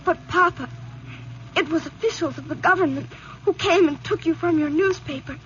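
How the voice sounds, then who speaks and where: A woman's voice: very high-pitched, normal speed, a bit bright. A woman playing an anxious, earnest ten-year-old refugee girl in an old radio drama. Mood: frightened. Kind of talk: 1940s radio acting. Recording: noisy, muffled old radio recording.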